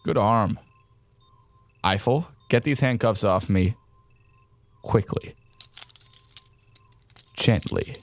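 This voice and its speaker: An actor playing a menacing, taunting colonel who gives orders as a villain in an audio drama. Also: male voice, on the low side, drawn-out words, gravelly, pauses often, exaggerated voice. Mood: commanding. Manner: taunting, commanding. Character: menacing colonel villain holding hostages at gunpoint. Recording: music behind the voice.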